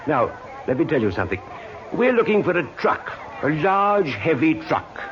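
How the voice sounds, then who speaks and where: Lively, kindly police inspector. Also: male voice, a little high, moderate pace, noisy background. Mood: kindly, probing. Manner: avuncular, patient, gently probing. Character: kindly, coaxing Scotland Yard inspector questioning children. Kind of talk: old time radio acting. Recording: muffled old radio recording.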